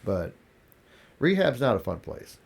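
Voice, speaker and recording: A congested man with a gravelly voice, talking candidly as a podcast guest, restarting his sentences as he tells his story. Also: mid-range voice, very animated, unhurried. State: congested, coughing. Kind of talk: casual interview talk.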